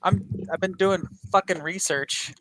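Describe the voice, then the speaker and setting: A man joins a casual chat as a guest, rambling and poking fun at himself. His voice sits a little high and the sound is dry and close. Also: steady tone, a little slow, fairly bright tone, no room sound, low-quality recording. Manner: rambling, self-deprecating, swears.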